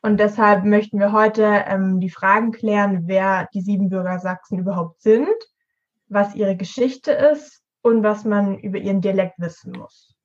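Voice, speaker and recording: Female, early twenties, student podcast co-host, informative delivery, average pitch, dull recording. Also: steady tone, moderate pace. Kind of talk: prepared explanatory podcast talk.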